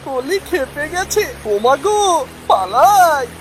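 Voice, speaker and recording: Cartoon rascal boy, acted by a woman: high voice, slow mocking singsong, faint music behind. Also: very animated, full character voice. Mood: scared, mocking. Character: cheeky teasing little village boy.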